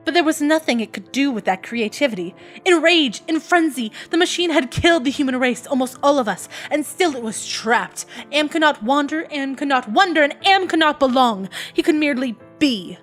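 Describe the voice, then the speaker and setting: A woman reading a first-person story aloud as an audiobook narrator, with an intense, theatrical and emphatic delivery. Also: fairly high pitch.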